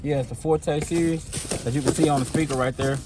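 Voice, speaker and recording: A man's voice: a little high, steady tone, fairly quick. A man hosting a product video, talking casually and informally to his viewers in a laid-back way. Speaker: host of car audio product video. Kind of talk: casual product demo talk. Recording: clear background noise.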